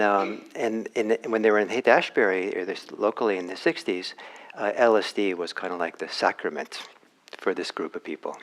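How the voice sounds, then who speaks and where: A man in his sixties from Norway giving a dharma talk, unhurried, with many pauses and restarts and gentle laughs at his own jokes. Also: deep voice, low-quality recording. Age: 60s. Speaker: dharma teacher.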